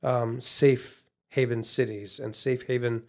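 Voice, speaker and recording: A man co-hosting a podcast in loose, casual talk. He rambles, stumbles over his starts and repeats words as he works out his thought. His voice sits at an ordinary middle pitch. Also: little pitch movement, measured pace, darkish tone. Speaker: podcast co-host.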